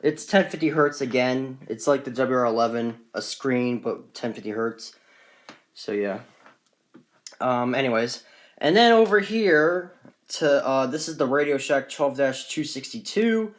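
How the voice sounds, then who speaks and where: Man, medium pitch, casual unscripted collector walk-through, rambling and matter-of-fact, handheld phone audio. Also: expressive, unhurried. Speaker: gadget collector presenting his collection. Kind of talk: casual off-the-cuff show-and-tell.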